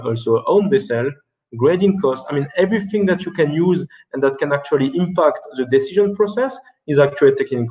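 A man in his thirties presents over a video call from an indoor room. His voice sits at an ordinary middle pitch, and he talks haltingly with many restarts and fillers. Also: darkish tone, poor audio. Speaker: keynote speaker. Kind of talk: webinar presentation and Q&A answers.

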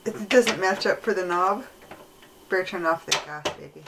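A woman's voice: fairly low pitch, normal speed, vocal fry. A woman chatting casually and playfully as she comments from behind a camera. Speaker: vlogger filming and commenting. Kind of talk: casual chat. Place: small converted bus interior. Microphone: behind handheld camera. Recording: noisy handheld camera audio.

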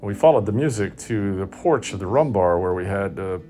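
A man in his fifties narrating a sailing vlog in a relaxed, wry, understated way. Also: on the low side, moderate pace, soft background music. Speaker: sailing vlogger. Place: sailboat deck outdoors.

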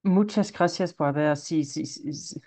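Halting man moderating a video call. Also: a little high, a little mellow. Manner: halting, frequent restarts. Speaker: session moderator. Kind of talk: online consultation session.

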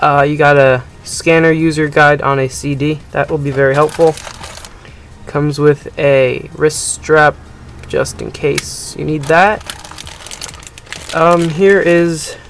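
A man's voice: a little high, fairly even intonation, takes it slowly, creaky, a little hiss or hum. A young man casually narrating a gadget unboxing, rambling and hesitant with many ums. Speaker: unboxing video host. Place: indoors at a table.

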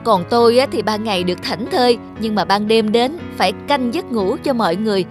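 A loyal, friendly dog in a cartoon fable, acted by a woman. The voice is high, patient and politely reasoning. Music runs clearly underneath. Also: a little fast, natural voice. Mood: kind, forgiving. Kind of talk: dubbed cartoon fable acting.

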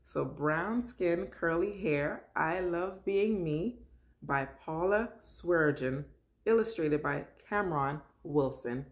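A woman, around her thirties, speaking as the host of a children's story time, in an expressive storytelling manner. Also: lowish voice, slow pace. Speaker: host of a children's read-aloud show. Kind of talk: children's story time, picture book read aloud.

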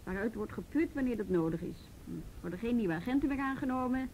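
A woman's voice: a little high, expressive, fast, a little mellow, lightly acted. A woman playing a wry, overworked policewoman in a radio drama, dry and grumbling with colleagues. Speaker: actor in a radio play. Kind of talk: Dutch radio drama acting, naturalistic dialogue. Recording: faintly noisy old radio play recording.

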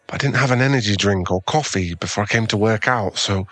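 A man's voice: fairly low pitch, quick pace, fairly bright tone, no room sound, lightly acted. A man playing an awkward, kind young gym owner with a crush in an ASMR boyfriend roleplay, speaking in an earnest, hesitant, stammering way. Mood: flustered, embarrassed.

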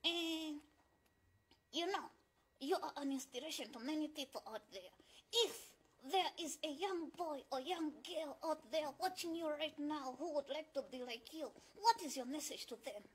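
A woman's voice: high-pitched, normal speed, voice pushed up, natural voice, rough recording. A woman voicing a cheeky, vain young male ventriloquist puppet who teases and boasts. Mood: upbeat. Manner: bossy, boastful, teasing. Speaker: puppet co-host of comedy show.

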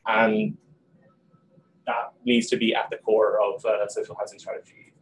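Quick low-voiced young man, flat delivery. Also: slight background noise, poor audio. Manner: quick, animated gesturing, many ums and likes. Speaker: panel guest. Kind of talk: panel discussion. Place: small office meeting room.

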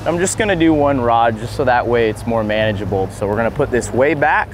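A man in his thirties, a fishing vlogger, talking casually and excitedly on camera from a small boat on the open ocean, recorded on a boat-mounted camera. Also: mid-range voice, a little fast, a little hiss or hum. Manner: excited, breaks into whoops and laughs while fighting fish.

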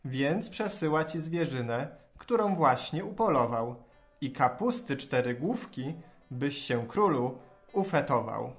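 An actor voicing a clever, bold talking cat with a courtly, smooth-tongued way in a children's rhymed audio tale. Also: mid-range voice, expressive, a little slow, faint music behind. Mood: courtly, flattering. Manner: smooth-tongued rhyming oration.

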